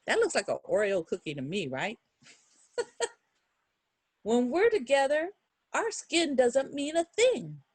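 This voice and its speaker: Animated woman reading aloud to children. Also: mid-range voice, dry recording. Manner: expressive, encouraging, asks the listeners questions. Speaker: children's storybook reader. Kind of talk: read-aloud to young children with chatty asides.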